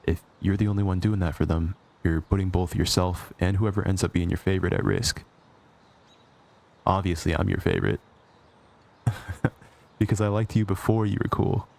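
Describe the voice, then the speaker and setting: Man acting as a low, gravelly, cocky villain. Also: fairly even intonation, normal speed, long pauses, darkish tone. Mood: stern warning, then smug, fond. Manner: teasing, sardonic, conversational. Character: cocky, possessive, sarcastic male supervillain with a soft spot. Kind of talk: intimate ASMR roleplay acting.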